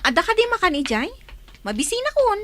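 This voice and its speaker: A woman acting an excitable, inquisitive young student in a radio drama. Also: very high voice, brisk, slight background noise. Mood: impatient, teasing. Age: young adult. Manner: animated, inquisitive. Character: excitable, nosy young female student friend.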